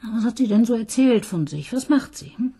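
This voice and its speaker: A woman's voice: a little high, animated, normal speed, warm tone, husky. An actress playing a skeptical woman police detective questioning a witness. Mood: probing.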